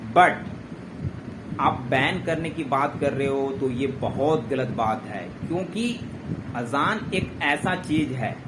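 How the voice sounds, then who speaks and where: An Indian man giving an informal explainer commentary in a didactic way, with check-in tags and English words mixed in. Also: fairly high pitch. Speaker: presenter explaining a current-affairs issue. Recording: clearly noisy amateur recording.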